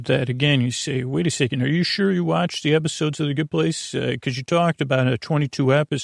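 A man hosting a sleep podcast in a casual, meandering monologue, halting and repeating himself as he drifts into tangents. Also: mid-range voice, creaky, dry recording, clean studio sound. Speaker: podcast host.

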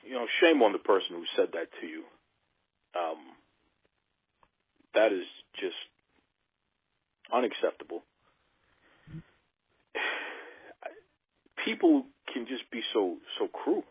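A man's voice: fairly low pitch, wildly swooping pitch, slow pace, long pauses, husky, no echo. A man hosting a radio interview, earnest and emphatic, often repeating himself as he praises and questions his guest. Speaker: radio host. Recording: dry, phone-like radio sound.

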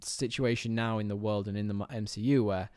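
A man co-hosting a casual film review podcast, enthusiastic and quick to laugh, often restarting his sentences. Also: lowish voice, steady tone, a little mellow, clear, resonant tone, no echo, studio quality. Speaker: podcast co-host.

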